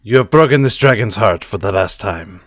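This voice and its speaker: A man acts out a lovesick young nobleman in a tabletop game, passing himself off as a humble dragon. He is courtly and grandiose, with a low pitch that swings around a lot and a dry, close sound. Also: lively intonation, moderate pace, dry recording, close to an everyday voice. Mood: dramatic, wounded.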